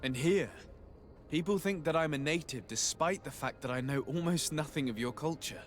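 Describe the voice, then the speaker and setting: An actor playing an earnest young nobleman emissary in a video game, speaking in a measured, formal way. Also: on the high side, faint music behind, close to an everyday voice. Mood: pensive. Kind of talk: video game cutscene dialogue.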